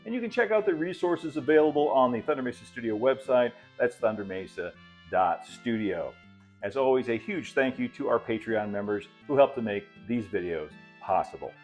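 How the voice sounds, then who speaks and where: A man's voice: mid-range voice, animated, normal speed, a little mellow, soft background music. A man in his fifties hosting a hands-on workshop tutorial in a casual, folksy, easygoing way, explaining things step by step. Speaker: host of a model railway channel. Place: indoor hobby workshop.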